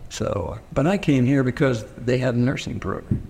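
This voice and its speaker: A man's voice: medium pitch, normal speed, vocal fry, darkish tone. A man from Pennsylvania whose first language is Pennsylvania Dutch, recalling his upbringing in an oral history interview. He talks hesitantly, with many fillers and restarts. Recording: long reverb with faint noise.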